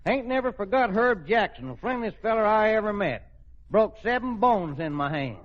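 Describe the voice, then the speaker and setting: A man's voice: sits high, lively intonation, moderate pace. An actor playing a folksy, plain-spoken Texan oil man of few words in a radio comedy. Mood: friendly, folksy. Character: folksy, laconic Houston oil man courting a widow. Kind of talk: 1940s-50s radio comedy acting. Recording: dull old radio recording, studio audience, hiss.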